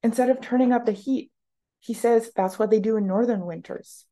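A woman's voice: a little high, moderate pace, warm tone, voice pushed up, lightly acted. A woman reading the part of an exasperated ninth-grade schoolgirl who complains to her friend in a novel. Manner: complaining, sighing.

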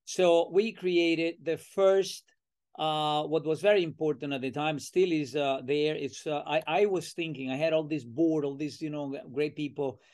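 An older Venezuelan man speaks as an interview guest, with a voice that sits a bit high for a man. He tells his story in an animated, chatty way, slipping into the voices of people he remembers and filling pauses with "uh" and "um.". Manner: animated, acts out remembered dialogue, many fillers. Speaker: professor and podcast guest.

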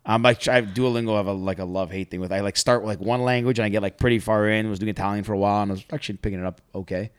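A man's voice: lowish voice, fairly even intonation, clean tone. A young man co-hosting a podcast, rambling and poking fun at himself in casual chat. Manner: rambling, self-deprecating, fast. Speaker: podcast co-host.

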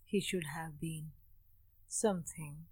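Woman guiding a meditation in measured phrases. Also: deep voice, steady tone, dark tone, husky, dry recording. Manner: measured, short phrases with long pauses. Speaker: meditation guide. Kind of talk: guided meditation with opening Sanskrit chant.

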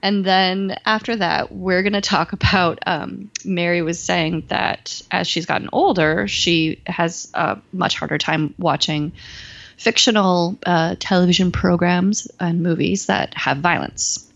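Female podcast co-host, lowish voice, giggly and rambling, dry close recording. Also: steady tone, unhurried, vocal fry, a bit bright, no echo, muffled recording. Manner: giggly, rambling, lots of fillers. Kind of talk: casual podcast chat.

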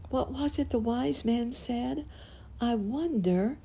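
A woman's voice: fairly low pitch, sing-song, measured pace, warm tone, slightly rough, pitched above the performer's own voice, heavily acted. A woman voicing a kindly, earnest little male clown in a storybook tale, coaxing and encouraging. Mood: pondering.

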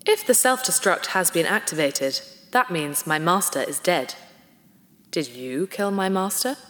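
A woman's voice: fairly low pitch, animated, unhurried, a bit bright. A woman voicing a spaceship's computer with clipped, formal phrasing, childlike and loyal to its master, in a sci-fi audio drama. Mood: stubborn, suspicious. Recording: reverberant hall sound with noisy ambience.

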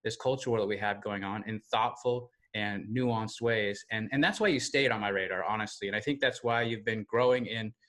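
A man's voice: lowish voice, fairly bright tone. An American man hosting a podcast, chatting casually with lots of fillers and rambling asides. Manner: rambling, many fillers, fast. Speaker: podcast host. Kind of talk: casual interview chat.

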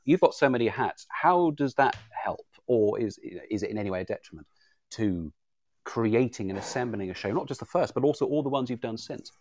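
A man's voice: mid-range voice, animated, a little fast, darkish tone. A man conducting an informal interview as a fellow writer, circling into his points with hesitant repeated starts. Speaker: audio drama writer acting as interviewer.

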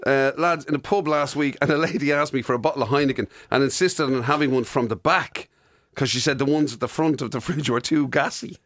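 A man's voice: medium pitch, fairly quick, fairly bright tone. An Irish man co-hosting a radio show in casual, bantering chat.

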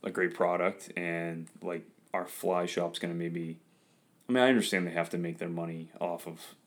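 A young man with a very deep voice hosting a podcast, talking in a relaxed, filler-heavy, somewhat self-conscious way. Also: normal speed. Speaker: podcast host. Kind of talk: casual interview chat.

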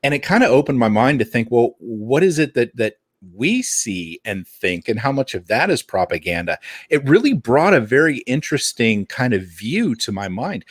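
A man's voice: mid-range voice, sing-song, normal speed, husky, dry recording, clean studio sound. A man hosting a podcast in casual chat, with an enthusiastic, jokey way of talking. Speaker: podcast host.

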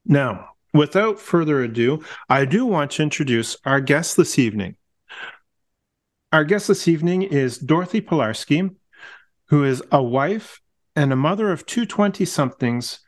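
A middle-aged man hosting a casual podcast, friendly and warm, often restarting his words and laughing gently. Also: on the high side, expressive, moderate pace. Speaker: podcast host.

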